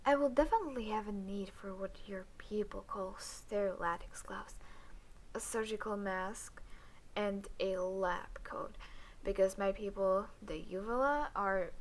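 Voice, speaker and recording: A woman playing a cool, clinical female alien doctor who teases her human captive in a roleplay audio drama, speaking in a deadpan, halting way. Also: medium pitch, a little hiss or hum, lightly acted. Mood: cool, curious.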